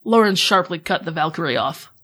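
A woman's voice: on the low side, expressive, a little fast, fairly bright tone. A woman reading scripted fan fiction narration as a podcast host. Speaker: podcast host, author reading own story.

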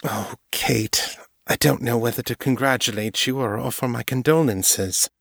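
A man's voice: mid-range voice, moderate pace, vocal fry, no echo. A man voicing a poised female vampire doctor who speaks dryly and with wry irony. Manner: dry and wry, ironic.

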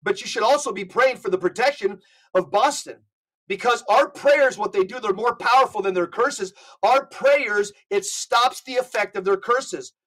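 A man's voice: sits high, moderate pace, a bit bright, poor audio. A man speaking as a podcast guest, giving impassioned religious talk in an emphatic, exhortatory way and reading out quotations.

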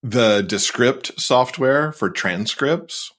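A man's voice: medium pitch, expressive, takes it slowly, fairly bright tone. A man speaking as a podcast guest, explaining things informally in casual conversation. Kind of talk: casual interview chat.

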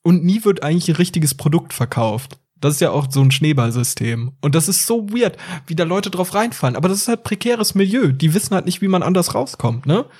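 A man's voice: on the high side, expressive, fairly quick, hardly any pauses, a little mellow, slightly rough. A man co-hosting a casual podcast, explaining things in a loose, slangy, rambling way. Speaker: podcast co-host.

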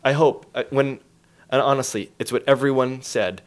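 A man's voice: medium pitch, normal speed. A man speaking as an interview guest, explaining things in an emphatic, storytelling way with acted-out quotes.

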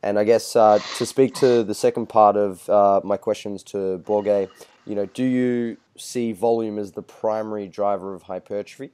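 A man's voice: fairly low pitch, steady tone, moderate pace, dark tone, smooth. A man with an Australian accent hosting a casual podcast, asking questions with some hesitant fillers. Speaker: host.

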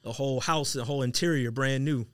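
A man's voice: mid-range voice, fast talker, a loud crowd. A man chatting casually on a podcast in a teasing, slangy way. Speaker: sneaker podcast host. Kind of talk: casual chat. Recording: clear background noise with clicks.

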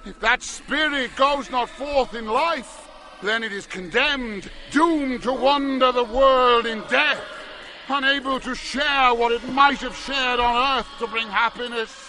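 High-pitched, slow, tormented male ghost. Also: background noise. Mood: grave warning. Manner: slow, solemn, anguished declamation. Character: tormented, doom-laden ghost of a dead businessman. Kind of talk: theatrical family radio drama. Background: dramatic music.

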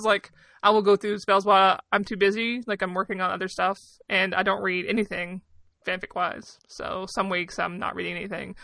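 A woman's voice: mid-range voice, gravelly, pushed and bright, no room sound. A woman in her thirties chatting casually as a podcast co-host, telling personal stories in a candid, informal, self-deprecating way. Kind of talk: casual personal storytelling and chat.

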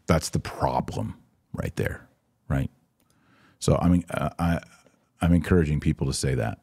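A man with a very low, dark voice that has a husky grain to it. He talks as a commentary host, opinionated and emphatic, repeating key phrases as he responds to comments. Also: moderate pace. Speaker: podcast host and religious commentator. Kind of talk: live commentary answering viewer comments.

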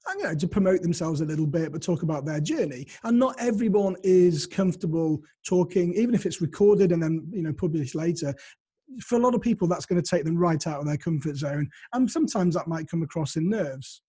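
A man hosts a casual podcast interview. His voice is fairly high for a man, mellow and a bit husky. He puts his questions politely and considerately. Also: animated, fairly quick.

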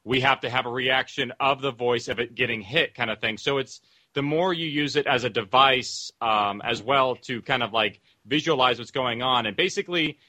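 Male sound designer on a panel, mid-pitched and bright, explaining in conversational terms. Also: moderate pace. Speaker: audio drama producer and sound designer, panel guest.

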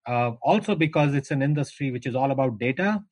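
A man's voice: medium pitch, animated, fast talker, dry recording. A middle-aged man from India with an Indian accent, telling his career story at length as a guest in a podcast interview, with frequent restarts. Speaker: guest, founder of an insurance tech business.

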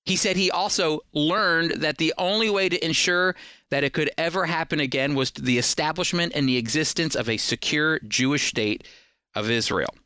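A man's voice: fairly high pitch, moderate pace, pushed and bright, clear, resonant tone, no room sound, studio quality. An American man hosting a radio program, speaking in an earnest, emphatic, conversational teaching style. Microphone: close studio microphone.

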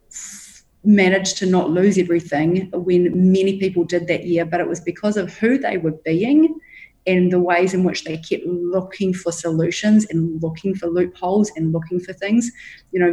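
A middle-aged woman with a New Zealand accent speaks with a fairly low voice. She talks frankly and emphatically, pushing her points like a motivational coach. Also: darkish tone, poor audio. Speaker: leadership coach, guest. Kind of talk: interview answers.

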